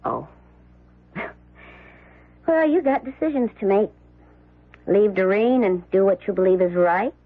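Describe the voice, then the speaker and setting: A woman's voice: mid-range voice, expressive, a little slow, long pauses. A woman acting a jaded, blunt, defiant character in an old radio drama. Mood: sly, cool. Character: jaded, defiant, frank woman with tough edge. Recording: muffled, narrow-band old radio broadcast.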